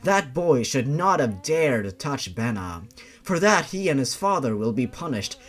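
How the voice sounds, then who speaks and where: A man's voice: medium pitch, sing-song, measured pace. A man voicing a polite, composed young woman with a vengeful streak, in an audio horror story. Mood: coldly vengeful.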